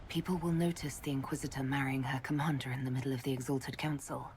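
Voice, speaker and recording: A woman playing a composed, affectionate female leader in a video game cutscene, speaking gently. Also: rumbling low, steady tone, fast talker, slight background noise. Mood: moved, loving.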